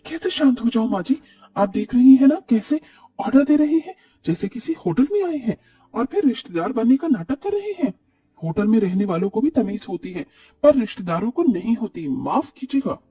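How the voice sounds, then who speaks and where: A man voicing an overworked, resentful young Indian daughter-in-law in an animated story, complaining indignantly. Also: extremely high pitch, moderate pace, husky, far from the mic, pitched above the performer's own voice, full character voice.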